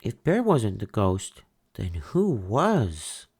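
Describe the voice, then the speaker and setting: A high, eager little turtle boy, as a man reading a picture book puts him on. He sounds young and keen, lifted well above the reader's deep voice, with slow, lively read-aloud phrasing. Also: breathy edge, heavily acted. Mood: alarmed.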